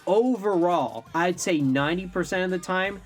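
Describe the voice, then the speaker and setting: Higher-pitched man giving opinionated film commentary. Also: moderate pace. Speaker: film reviewer, co-host. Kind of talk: movie review commentary. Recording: music bed under voice.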